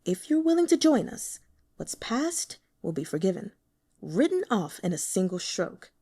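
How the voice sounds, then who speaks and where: A woman plays a persuasive older man, an elder of a secret sect, coaxing and smooth. His lines are mellow, and the pitch moves up and down with animation. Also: very animated, normal speed.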